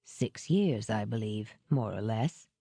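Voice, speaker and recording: A woman voicing a powerful older businessman in an audiobook, courteous, calculating and formal in a measured way. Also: very deep voice, animated, vocal fry, dark tone, dry recording, studio quality, voice pushed down, exaggerated voice. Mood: measured, businesslike.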